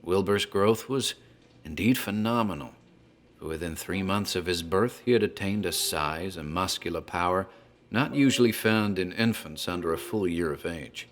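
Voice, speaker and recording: Deep-voiced actor as a talkative tavern landlord storyteller. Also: male voice, lightly acted. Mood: ominous storytelling. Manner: deliberate, theatrical storyteller, literary wording. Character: talkative tavern landlord recounting a grim local tale, male. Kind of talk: dramatized horror storytelling, scripted narration.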